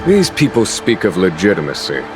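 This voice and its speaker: A man voicing a composed, shrewd general in a fantasy video game, speaking in a measured, formal and eloquent way. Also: sits low, huge pitch swings, over music. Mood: calm, measured. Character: composed, scheming-wise male general of a fantasy fleet. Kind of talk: scripted game dialogue.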